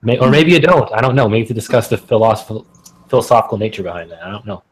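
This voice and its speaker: A man's voice: on the low side, animated, fast, slightly rough, poor audio. A Canadian man in casual group chat on a video call, rambling with many fillers.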